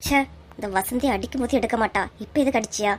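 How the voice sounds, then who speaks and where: A woman's voice: fairly high pitch, fast talker, close to an everyday voice. Woman playing jealous gossip, Kanyakumari Tamil accent, comic cartoon, scornful muttering, sly, scheming. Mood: irritated, scheming.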